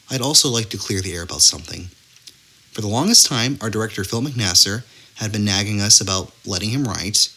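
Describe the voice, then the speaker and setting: Low-voiced, lively man with a bright edge. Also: husky. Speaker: sketch comedy performer and credits announcer. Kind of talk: mock-earnest scripted announcement.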